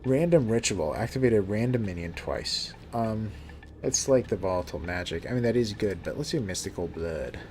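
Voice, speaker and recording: A man casually chatting while he plays a game on a live stream, talking in a relaxed, soothing way and often restarting his sentences. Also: on the low side, moderate pace, slight background noise. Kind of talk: casual live gameplay commentary. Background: game music.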